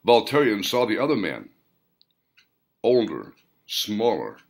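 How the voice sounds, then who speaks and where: A man reading a story as a scripted audiobook narration, in deliberate, dramatic phrases broken by pauses. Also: fairly low pitch, huge pitch swings, gravelly. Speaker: author reading own novel, narrator.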